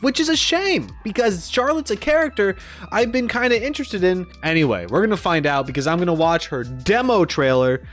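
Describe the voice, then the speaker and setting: A man's voice: fairly high pitch, a bit bright. A man hosting a casual video game reaction, chatty and rambling with a self-deprecating way of talking.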